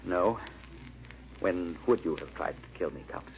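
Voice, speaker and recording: A grim, resolute leading man of vintage radio drama, with a lowish voice. The old recording sounds dull and carries a little noise. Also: moderate pace, vocal fry. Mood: accusing, shaken. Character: grim, resolute man confronting his brother's killer. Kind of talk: 1940s radio drama acting. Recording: muffled, noisy old-time radio recording.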